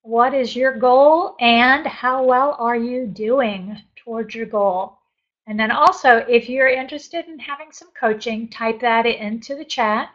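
A woman's voice: on the high side, unhurried, fairly bright tone, lo-fi sound. A woman in her sixties coaching a group on a live webinar, upbeat and encouraging as she reads chat messages aloud and responds. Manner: upbeat, encouraging, laughs often. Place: home office with bookshelves.

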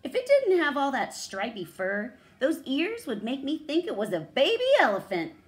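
A scoffing wild dog from a picture book, acted out by a woman reading aloud. The voice jumps high and loud, yelping and exclaiming with mockery. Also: wildly swooping pitch, normal speed. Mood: mocking. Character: mocking, yelping wild dog pack leader.

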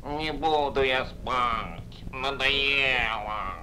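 A man playing a lazy, sulky young toad in an animated fairy tale, with a bored drawl. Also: mid-range voice, very slow. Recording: old cartoon soundtrack with music.

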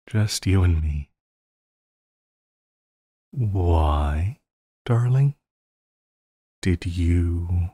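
Man acting a deep, slow, lovesick villain. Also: breathy edge, dry recording, studio quality, exaggerated voice. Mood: awkward, coaxing. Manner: halting, pleading, soft-spoken endearments. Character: lovesick, possessive yandere supervillain pleading with his beloved hero. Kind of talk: intimate audio roleplay acting.

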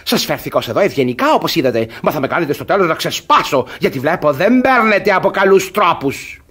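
A pompous middle-aged suitor from a classic radio farce. His husky voice swings widely in pitch as he blusters and condescends. He is jealous and easily fooled, delivering everything with theatrical self-importance. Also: animated, a bit bright. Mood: indignant scolding. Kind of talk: Greek radio theatre comedy acting.

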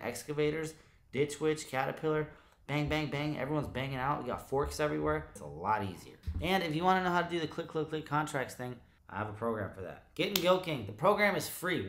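A man in his thirties, a business owner vlogging blunt advice, emphatic and profane, repeating his key points. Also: medium pitch. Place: home office with studio monitors, also outdoors and in a truck.

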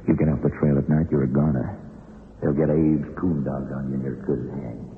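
A man's voice: a bass voice, fairly even intonation, fairly quick, faint music behind. An actor playing an older, weary, guilt-ridden small-town sheriff and hangman in a folksy, brooding radio drama. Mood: quietly conspiratorial. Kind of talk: old-time radio drama acting. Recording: tinny, hissy old radio recording.

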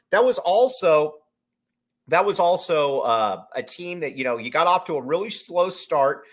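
A man's voice: a little high, fairly bright tone. A man in his thirties hosting a solo sports podcast from a small room at home, rambling through a postgame reaction with many fillers and repeated phrases.

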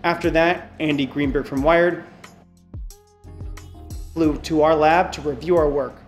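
A man's voice: fairly high pitch, fairly even intonation, soft background music. Earnest man reading a letter. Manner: measured, earnest delivery to camera. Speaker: company spokesman. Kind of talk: scripted open letter reading. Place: indoor hardware lab.

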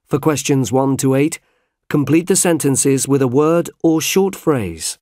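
A man reading out unit numbers and task instructions in a clear, neutral announcing style. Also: mid-range voice, unhurried, a little mellow, dry recording, studio quality. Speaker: exam audio announcer. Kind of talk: scripted instructions.

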